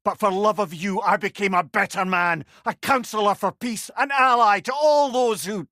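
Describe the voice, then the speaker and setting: A man's voice: sits high, moderate pace, dry recording, studio quality. A man playing a witty old talking severed head, a wise counsellor with a Scottish accent and an eloquent, wry manner in a video game. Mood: earnest, sombre. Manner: wry, eloquent, animated storytelling. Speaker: companion character in an action game. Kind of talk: video game character voice lines.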